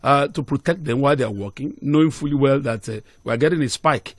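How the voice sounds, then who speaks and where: A man's voice: medium pitch, fast, no room sound. A middle-aged Nigerian man hosting a live radio news interview, speaking into a close studio microphone. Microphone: close studio microphone on boom.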